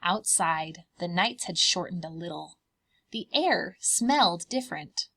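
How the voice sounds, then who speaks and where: Slow, expressive woman reading to children. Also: fairly low pitch, slightly rough. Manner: deliberate, child-directed. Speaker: preschool teacher reading aloud. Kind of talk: expressive children's storybook read-aloud.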